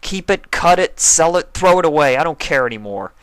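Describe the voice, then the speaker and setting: A heartbroken young male pony lashes out loudly and bitterly, as a man reading a storybook puts him on. The voice climbs high with the anger. The sound is dry and close. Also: expressive, brisk, smooth, dry recording. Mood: angry. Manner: loud, angry.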